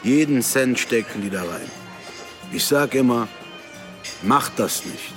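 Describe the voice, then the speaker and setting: A smooth, self-righteous moneylender in a radio play. His mid-pitched voice swings widely as he preaches like a family patriarch, unctuous and emphatic. Music sits underneath. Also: animated, slow. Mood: smoothly righteous. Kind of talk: naturalistic radio drama acting.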